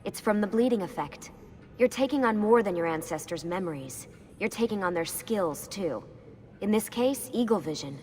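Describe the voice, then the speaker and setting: An actress playing a calm, serious woman who reassures a young man, in a game cutscene. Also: mid-range voice, normal speed, in a large hall, slight background noise, lightly acted.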